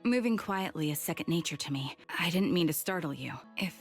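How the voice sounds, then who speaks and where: A woman playing a calm, reserved blind soldier in a video game, soft-spoken, formal and measured. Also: on the low side, soft background music. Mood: calm, polite.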